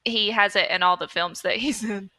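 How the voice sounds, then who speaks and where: A woman's voice: medium pitch, fairly even intonation, normal speed, pushed and bright. A woman co-hosting a podcast, chatting casually about films in a conversational, self-deprecating way. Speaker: podcast co-host. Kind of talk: casual film review chat.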